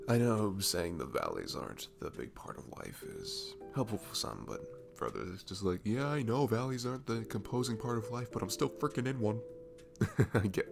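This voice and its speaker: Casting: male actor as cute, soothing barista for ASMR audio. Slow, gentle, rambling delivery with lively swings in pitch, over clear background music. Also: heavily acted. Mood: sympathetic. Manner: gentle, rambling, hesitant, breathy chuckles and sighs. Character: cute, sweet, comforting young male barista chatting. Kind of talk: ASMR roleplay, soft casual chat.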